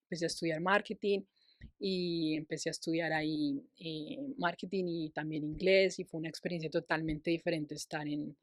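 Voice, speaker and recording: A woman's voice: deep voice, steady tone, normal speed, dry recording. A young adult Colombian woman hosting a casual podcast interview, encouraging her guest and often restarting her phrases. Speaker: podcast host.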